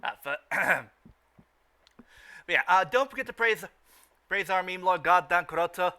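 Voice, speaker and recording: A man hosting a casual podcast, talking off the cuff in a halting way with stammered, repeated words. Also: high-pitched, bright, forceful tone, clear, resonant tone. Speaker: podcast host. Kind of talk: casual unscripted podcast chat.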